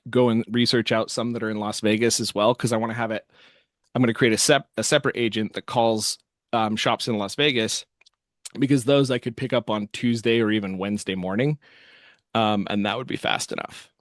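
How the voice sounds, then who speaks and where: A man giving a casual software walkthrough as host, thinking aloud as he works. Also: lowish voice, fairly even intonation, a little fast, no echo, studio quality. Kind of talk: casual screen-share walkthrough.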